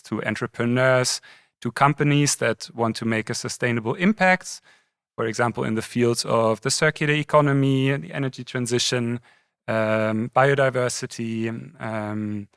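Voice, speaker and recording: A man's voice: medium pitch, fairly bright tone, clean tone, no room sound, studio quality. A man in his thirties from Germany with a German accent, a guest chatting in a friendly, thoughtful way on a podcast. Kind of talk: casual conversation.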